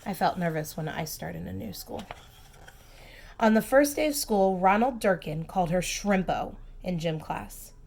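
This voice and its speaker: A woman reading a storybook aloud to young children, expressive and emphatic, with simple asides and questions for the listeners. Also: lowish voice, vocal fry. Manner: expressive, slow emphatic phrasing, simple child-directed comments. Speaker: preschool teacher reading aloud.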